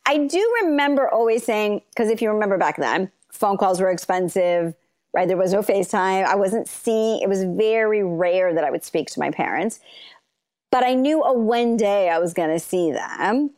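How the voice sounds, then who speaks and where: Animated American woman telling her story. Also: normal speed. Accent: General American. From London, then Los Angeles from age nine. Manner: animated, rising to high emphasis, laughs while talking. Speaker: interview guest. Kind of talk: conversational interview storytelling.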